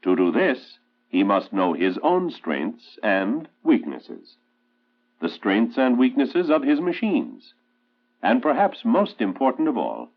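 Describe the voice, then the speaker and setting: Tinny, deep-voiced American film narrator, man. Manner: authoritative, emphatic, measured oratory. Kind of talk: scripted 1960s instructional film narration. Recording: tinny band-limited 1960s film soundtrack, loud music. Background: loud musical score.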